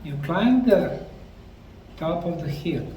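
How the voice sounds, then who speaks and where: A middle-aged man slowly narrating a documentary on location at ancient ruins, explaining the sites like a tour guide. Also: on the high side, animated, dark tone, dull audio, slight background noise. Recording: roomy, distant mic with faint outdoor ambience.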